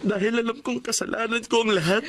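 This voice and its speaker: An actor playing a young man in jail, apologizing quietly to his mother in a radio drama. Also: extremely high pitch, fairly even intonation, quick pace, a little hiss or hum. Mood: remorseful. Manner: subdued, apologetic.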